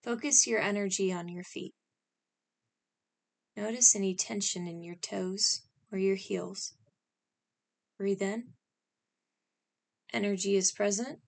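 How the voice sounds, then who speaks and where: Slow, creaky-voiced woman guiding meditation. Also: mid-range voice. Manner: calm, instructional, with short spaced phrases. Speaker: meditation guide. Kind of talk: scripted guided meditation.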